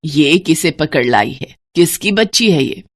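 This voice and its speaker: A woman's voice: low-pitched, expressive, unhurried, noisy background. A woman voicing a cruel, scornful older mother-in-law, harsh and taunting, in a family morality story. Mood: angry scolding.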